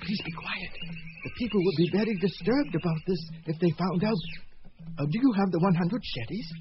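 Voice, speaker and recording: A man's voice: high voice, animated, normal speed. A man playing a nervous, corrupt village official in a radio drama, hesitant and formally polite. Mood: hushed, anxious. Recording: dull, noisy old radio recording.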